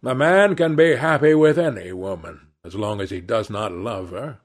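A man's voice: fairly low pitch, expressive, normal speed. A man voicing a witty, cynical aristocrat in a storybook reading, languid and epigrammatic. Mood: wry.

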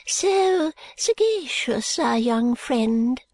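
A woman's voice: a little high, expressive, darkish tone, dry recording, pitched above the performer's own voice, heavily acted. Woman doing Miss Flite, a whispery eccentric old lady. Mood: confiding. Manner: breathy whispering, slow and confiding. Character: eccentric fluttery elderly lady, confiding and mysterious.

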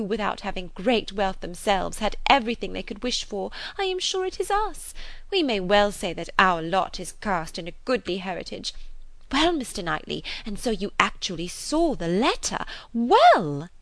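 A woman's voice: mid-range voice, sing-song, moderate pace, gravelly, dry recording, pitched above the performer's own voice. A woman voicing a garrulous, good-hearted older spinster in an audiobook, gushing and rambling in a flustered, breathless way. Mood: effusive. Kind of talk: audiobook character voice.

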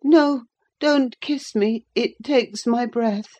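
A frail, querulous teenage boy who whines at every discomfort, as a woman reads him in an audiobook. His voice sits high and thin with a fretful edge. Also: slow pace, mellow. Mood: peevish. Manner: whining, querulous. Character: sickly peevish teenage boy invalid.

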